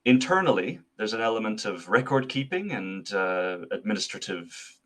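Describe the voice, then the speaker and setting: Low-voiced Swiss-born man, thoughtful. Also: sing-song, moderate pace, a bit bright. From Switzerland. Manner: thoughtful, articulate, with frequent fillers and restarts. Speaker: associate artist manager, guest. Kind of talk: interview answers.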